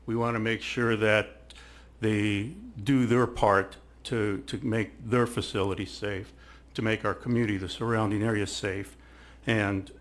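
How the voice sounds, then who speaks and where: A man with a fairly low voice gives deliberative remarks as a city council member. He speaks haltingly, often doubling back on words as he works toward his point. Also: fairly even intonation, a bit bright. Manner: halting, repetitive, searching for words. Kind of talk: deliberative public meeting remarks.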